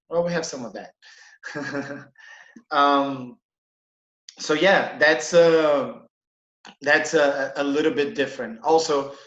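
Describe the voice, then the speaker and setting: A man from Brazil, a hotel manager, chatting in a relaxed, halting way with many fillers on a video call. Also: a little high. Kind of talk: casual interview. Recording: echoey room, dull lo-fi sound.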